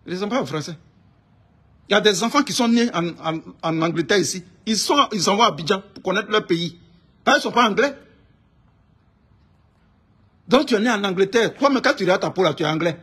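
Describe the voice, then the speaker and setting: A man with an Ivorian accent speaks in a high-pitched, raspy-edged voice. He rants informally about his views in an indignant, emphatic way, often hammering a phrase by repeating it. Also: animated, fast, fairly bright tone. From Ivory Coast. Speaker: online political commentator. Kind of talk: informal political rant to camera.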